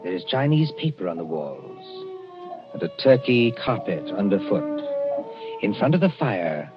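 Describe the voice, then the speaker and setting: An actor playing a grave, ominous storyteller, narrating a gothic 1940s radio drama in a deliberate, dramatic way. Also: male voice, medium pitch, huge pitch swings. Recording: dull vintage 1940s radio recording, music.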